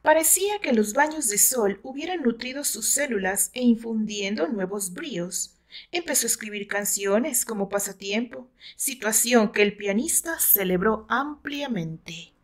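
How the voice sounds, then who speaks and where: A woman with a Mexican accent reads a dramatic novel aloud in a measured, expressive storytelling manner. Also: fairly high pitch. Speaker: author narrating her own novel. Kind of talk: dramatic audiobook narration.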